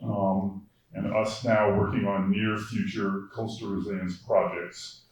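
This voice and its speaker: A man with a deep voice, chairing a committee and giving a forum presentation with some fillers. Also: level tone, unhurried. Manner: plain public speaking with fillers. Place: public forum room. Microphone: handheld or podium microphone. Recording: very echoey room, mic held far off.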